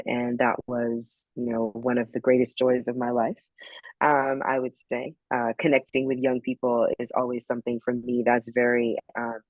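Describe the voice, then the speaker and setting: A woman's voice: very deep voice, no echo, rough recording. A middle-aged woman from Barbados, a podcast guest telling her story in a reflective, casual way with many ums. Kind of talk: casual interview chat.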